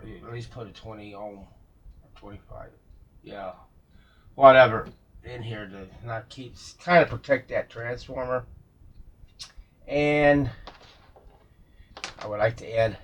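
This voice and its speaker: A man with a husky, slightly rough voice talks at an unhurried pace. He gives casual workbench commentary as a hobby restorer, thinking out loud in halting, unscripted phrases. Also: lowish voice. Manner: musing aloud, halting, talking to himself and viewers. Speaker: vintage radio restorer showing a repair.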